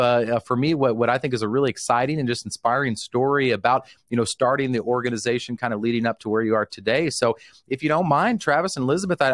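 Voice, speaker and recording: A man's voice: medium pitch, no room sound, clean studio sound. A man hosting an interview show, talking enthusiastically with frequent fillers and restarts. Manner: enthusiastic, lots of fillers and repeated words. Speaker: host.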